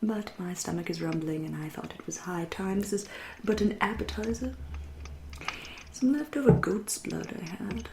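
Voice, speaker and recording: A woman voicing a centuries-old aristocratic English vampire in a soft, breathy, often whispery ASMR roleplay, genteel and deliberate, with dry, polite menace. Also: medium pitch, roomy sound, background noise, natural voice. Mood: quietly menacing. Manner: genteel, deliberate, drawn-out phrasing with dry irony.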